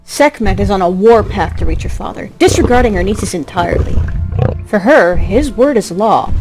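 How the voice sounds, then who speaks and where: A woman's voice: on the high side, a little mellow. A woman delivering scripted story narration in an emotive, theatrical way. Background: music.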